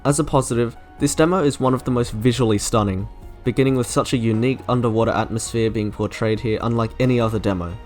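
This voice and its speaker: A man's voice: medium pitch, fast. A young man reading scripted opinion commentary as a video essayist. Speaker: video essayist reviewing game trailers. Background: soft game music.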